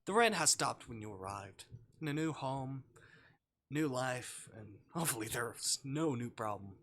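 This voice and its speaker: A man hosting a game livestream with a bright, forward voice and very animated pitch. He reads visual novel text aloud and mixes it with playful chat. His delivery is expressive and jokey. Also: a little slow, a little hiss or hum. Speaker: live streamer playing a visual novel.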